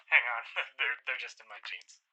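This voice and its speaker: A man acts out a scatterbrained caller in an audio drama. His voice is very sharp and thin through a breaking-up telephone filter, and he rambles casually, stopping and correcting himself. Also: medium pitch, heavily acted. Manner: casual, halting, self-interrupting. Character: scatterbrained, rambling man leaving a phone message. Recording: tinny, broken-up telephone line.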